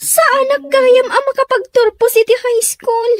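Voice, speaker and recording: Woman acting a very high-pitched whiny teenage girl. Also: fairly even intonation, measured pace, no room sound, clean studio sound. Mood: anxious, tearful. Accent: Ilocano Filipino. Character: petulant, anxious high-school daughter, whiny and defiant. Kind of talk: Ilocano audio drama acting.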